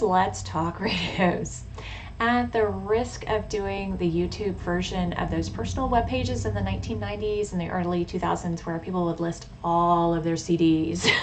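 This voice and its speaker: A thoughtful, measured woman speaking as a hobbyist vlogger. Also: mid-range voice, rough recording. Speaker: radio hobbyist vlogger and poet. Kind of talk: casual informative vlog talk with poetry reading. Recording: clearly audible background noise.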